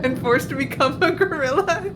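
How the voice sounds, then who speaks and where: A woman's voice: sits high, normal speed, background noise. A woman chatting casually as a co-listener on a stream. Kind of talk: casual chat.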